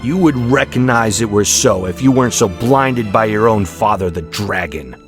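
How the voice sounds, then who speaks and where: A man's voice: fairly low pitch, animated, moderate pace, close to an everyday voice. Man playing impassioned, defiant holy prophet. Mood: indignant. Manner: impassioned, scolding, preacherly. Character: defiant righteous male prophet, passionately rebuking the powerful. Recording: music behind the voice.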